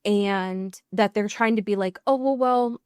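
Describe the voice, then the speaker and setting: A woman's voice: mid-range voice, a little slow, clean tone, no echo, studio quality. A woman co-hosting a podcast in a casual recap chat, informal and wry, with some swearing. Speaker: podcast co-host.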